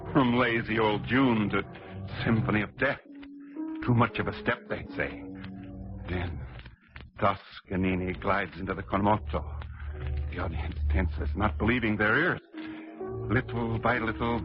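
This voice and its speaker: An actor playing a grandiose, unhinged composer in an old-time radio drama, speaking in a theatrical, declamatory way. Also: male voice, mid-range voice, natural voice. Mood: grandiose, intense. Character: unhinged, grandiose male composer imagining his own triumph. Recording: dull vintage radio recording with music.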